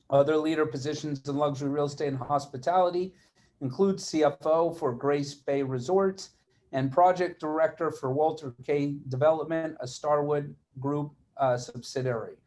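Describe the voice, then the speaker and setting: Slightly high-voiced male interview host. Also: darkish tone. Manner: reads introductions, asks friendly questions. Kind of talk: interview hosting.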